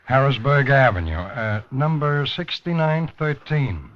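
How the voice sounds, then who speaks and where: An actor playing a helpful, unhurried factory manager, folksy and musing, in 1950s radio drama style. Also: male voice, mid-range voice, expressive, lightly acted. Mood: obliging, musing. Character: helpful, unhurried male small-factory manager checking records. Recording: dull, noisy vintage 1950s radio recording.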